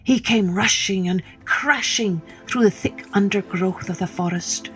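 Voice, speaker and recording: A woman telling a children's story in an expressive, dramatic way, addressing the listener directly. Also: medium pitch, measured pace, soft background music. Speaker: storyteller, podcast host.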